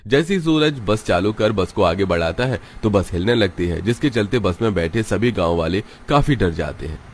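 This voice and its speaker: A man's voice: on the low side, expressive, normal speed, slight background noise. A man reading scripted story narration for a cartoon.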